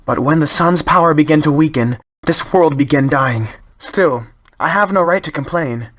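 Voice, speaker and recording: A dutiful soldier standing watch in a fantasy game, voiced by a man. The voice is on the higher side for a male. He sounds world-weary yet steady. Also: moderate pace, natural voice. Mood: weary, resigned. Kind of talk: video game voice acting.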